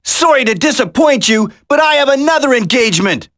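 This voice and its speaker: A man's voice: extremely high pitch, animated, brisk, a bit bright. A man voicing an earnest, determined young commando in a video game, urgent in delivery.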